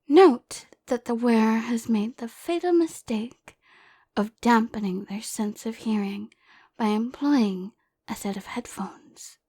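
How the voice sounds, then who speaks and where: A woman voicing a mock-serious nature documentary narrator, hushed, breathy and suspenseful in an audio roleplay. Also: a little high. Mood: mock-grave, suspenseful. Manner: hushed, breathy, slow and grandly dramatic.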